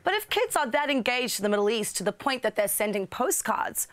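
Young woman host, brisk scripted news read with wry quips, highish voice, dry lapel-mic sound. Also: sing-song, a bit bright, no echo. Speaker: show host. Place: studio with blue screen.

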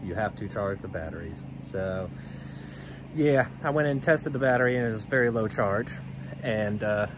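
A man's voice: on the low side, normal speed. Chatty young man vlogging outdoors. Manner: relaxed, chatty, self-deprecating. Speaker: homestead vlogger. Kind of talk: casual vlog narration. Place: outdoors on a rural homestead yard. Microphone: phone held at arm's length. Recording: outdoor phone audio with insect noise. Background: crickets and insects.

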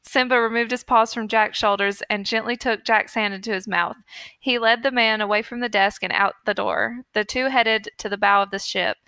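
Bright, clear-voiced woman reading a story. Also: on the high side, fairly even intonation, moderate pace, dry recording. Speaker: podcast co-host. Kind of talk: reading fan fiction aloud.

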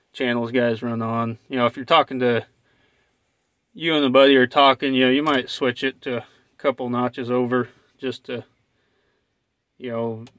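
A man's voice: mid-range voice, fairly bright tone, dry recording. Laid-back American man, dry close sound. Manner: laid-back, folksy, plain-spoken. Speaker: truck driver and vlogger. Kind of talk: casual hands-on tutorial chat. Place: inside a parked truck cab.

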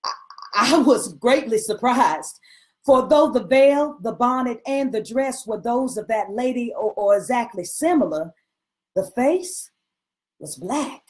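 A woman giving an expressive, theatrical reading of a period novel, pausing deliberately between phrases. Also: fairly high pitch. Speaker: reader at a literary event. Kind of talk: expressive dramatic reading of a 19th-century novel. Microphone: video call.

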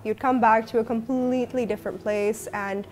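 A woman's voice: on the high side, steady tone, normal speed, darkish tone, slight background noise. A woman hosting an interview show, upbeat and enthusiastic as she asks questions. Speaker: talk show host. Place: small indoor studio set.

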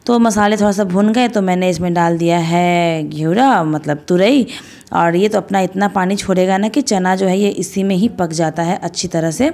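Hoarse chatty woman vlogger. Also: fairly low pitch, normal speed, a little mellow. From India. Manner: chatty, homely, rambling with fillers. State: hoarse after a cold. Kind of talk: casual voice-over narration. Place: home.

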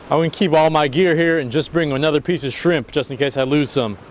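Young man, fishing vlogger, casual narration, excitable, outdoors on a jetty, wind and water noise. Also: on the high side, fairly quick. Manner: excitable, exclaims loudly when fish bite. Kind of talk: casual solo vlog narration. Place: outdoors on a rocky sea jetty. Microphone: action camera, near and far.

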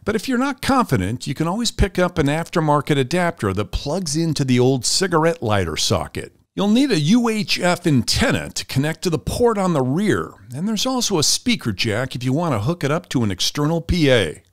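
A man's voice: on the high side, big pitch swings, a little fast, hardly any pauses, no room sound, studio quality. A man hosting a product review channel, reading a scripted review as a voice-over.

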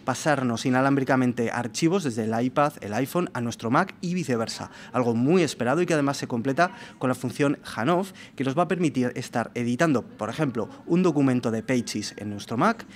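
A man's voice: medium pitch, lively intonation, a little fast, few pauses. A young man explains news to camera in the manner of a tech presenter. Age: young adult. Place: meeting room with large window, indoors. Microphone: microphone on a desk arm. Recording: clear background room noise.

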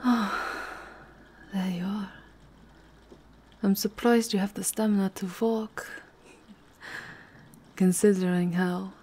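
A woman playing a seductive, aristocratic vampire countess in an intimate ASMR roleplay, with a breathy, husky voice that often drops to a whisper. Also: mid-range voice, mellow. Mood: teasing, sultry. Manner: slow, sensual, unhurried murmuring.

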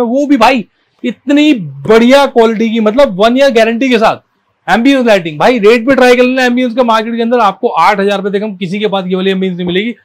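A man giving an informal, emphatic and repetitive sales pitch as a shop seller showing products. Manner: emphatic, repetitive, addressing viewers as brother. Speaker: car accessory shop seller presenting products.

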